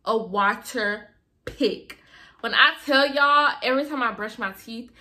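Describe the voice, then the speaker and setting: A young woman vlogging to camera from her bedroom, chatty and expressive, with a slight lisp. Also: a little high, measured pace. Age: young adult. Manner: chatty, expressive, lots of fillers. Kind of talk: casual chat to camera. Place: bedroom, indoors. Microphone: camera in front of her.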